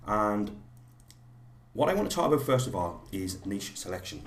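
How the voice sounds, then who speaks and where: A man with a slightly hoarse voice from a cold, teaching a live online course in a rambling way with many fillers and restarts. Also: lowish voice, very animated, moderate pace, echoey room.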